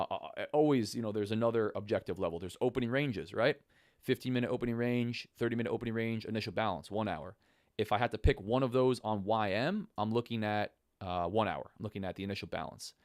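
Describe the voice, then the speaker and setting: Man, low pitch, raspy and hoarse, casual livestream explaining, informal address, dry sound. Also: no room sound. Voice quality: raspy smoker's voice, partly lost. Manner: informal, buddy-like address to viewers. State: hoarse, voice half gone. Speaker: host of a live trading stream.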